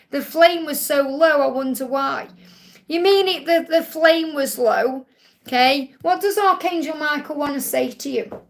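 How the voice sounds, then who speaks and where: A woman in her forties with a high, light voice, talking straight to her viewers on a live stream. She reads out their messages and answers with gentle, reassuring readings, drifting into a sing-song lilt on the affirmations. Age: 40s. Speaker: spiritual reader hosting a live Q&A.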